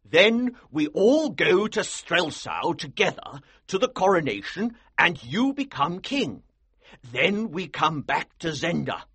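Husky, slow, commanding colonel. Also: male voice, fairly high pitch, sing-song, fairly bright tone, no room sound, voice pushed up, exaggerated voice. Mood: excited. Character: forceful, scheming old military colonel, urgent and commanding. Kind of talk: audiobook character acting.

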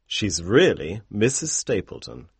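A man reading a story aloud, voicing a calm, clever detective in a measured, knowing way. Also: lowish voice, creaky, husky, no room sound, full character voice.

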